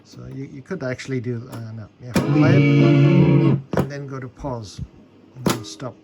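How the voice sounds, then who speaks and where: A man's voice: mid-range voice, steady tone, unhurried, vocal fry, highs cut off, over loud music. A man giving a casual, unscripted hands-on demonstration, explaining things a little hesitantly as he goes. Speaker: hobbyist demonstrating a repaired tape deck.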